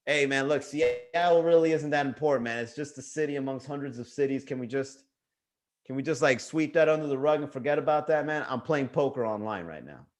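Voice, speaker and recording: A man's voice: mid-range voice, fairly quick. A man with a Miami accent hosting a comedy livestream, riffing loosely and joking with his chat. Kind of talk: casual livestream stand-up riff.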